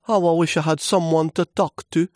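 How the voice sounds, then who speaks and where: Man voicing a high, timid little storybook leaf. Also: big pitch swings, slow, a little mellow, dry recording, studio quality, heavily acted. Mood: wistful, lonely. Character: lonely, timid little autumn leaf, eager and naive.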